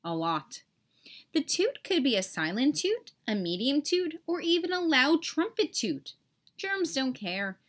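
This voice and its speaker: High, animated woman reading to children. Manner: animated, deliberate, dramatic emphasis. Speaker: teacher reading a storybook aloud. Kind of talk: expressive children's read-aloud.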